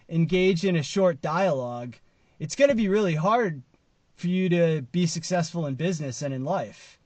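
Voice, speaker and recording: A man's voice: fairly high pitch, dry recording. A man around his late thirties or forties giving casual, conversational news commentary to camera, with emphatic stresses. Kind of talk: casual commentary to camera, part read from an article. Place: inside a parked car.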